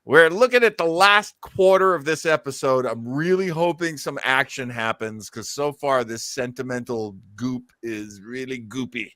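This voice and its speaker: A man hosting a podcast, giving animated, jokey, casual commentary on old radio shows. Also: medium pitch, moderate pace, few pauses, no echo, studio quality. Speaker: podcast host.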